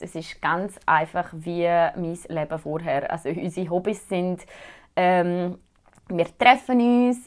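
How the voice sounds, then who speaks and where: A young woman in her twenties from Switzerland with a Swiss German accent, chatting casually to viewers as she answers their questions. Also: on the low side. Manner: chatty, relaxed, many fillers. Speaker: vlogger.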